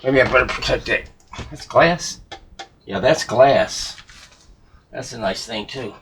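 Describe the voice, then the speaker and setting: A man's voice: mid-range voice, lively intonation, takes it slowly, a bit bright. A man working as a hobbyist repairer, giving casual, rambling commentary and thinking aloud as he works. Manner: muttering to himself, thinking aloud. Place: indoor workshop. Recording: clear background noise, clicks of handling.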